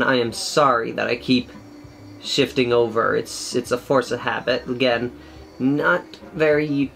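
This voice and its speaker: A young man casually talking through painting a miniature, chatty and rambling, with a loud projected voice, in a small room at home. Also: mid-range voice. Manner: rambling, chatty, many fillers. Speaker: miniature painting channel host. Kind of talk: casual tutorial commentary while painting.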